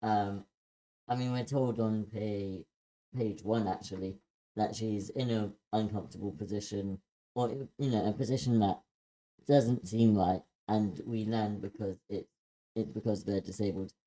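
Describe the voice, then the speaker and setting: A man giving informal feedback in a group critique, speaking in a hesitant, halting way. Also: on the low side, steady tone, warm tone, poor audio. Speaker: participant giving script feedback.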